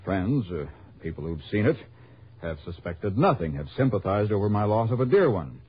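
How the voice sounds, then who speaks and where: An anxious American ex-convict trying to sound respectable, with a low, husky voice that swings expressively. He is genteel, evasive and hesitant in an old radio drama, on a muffled recording. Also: very animated, normal speed. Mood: guarded, confiding. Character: anxious reformed ex-convict robbery victim, proper and evasive. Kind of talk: 1960 radio drama acting.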